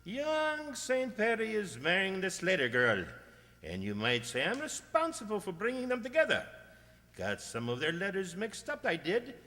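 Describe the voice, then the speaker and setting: A man's voice: sits high, a bit bright, heavily acted. A man playing a cheerful, nosy, talkative village postman with a folksy, self-satisfied manner in a radio drama. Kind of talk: amateur radio drama acting. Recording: long hall-like reverb.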